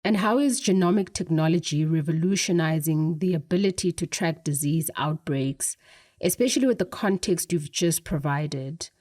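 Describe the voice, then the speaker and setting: A woman's voice: sits low, moderate pace, a little mellow. A woman hosting a podcast, delivering scripted narration and interview questions. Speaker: podcast host.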